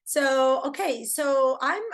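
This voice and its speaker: A woman from Argentina, talking as a guest in an effusive, animated way, full of restarts and fillers. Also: high-pitched, slow pace, creaky. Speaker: guest, personal branding coach. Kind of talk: casual chat.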